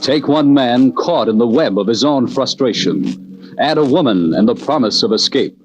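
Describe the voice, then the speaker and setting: A man's voice: medium pitch, animated, normal speed. A man making scripted announcements in the style of 1940s radio. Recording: muffled old radio broadcast. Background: music.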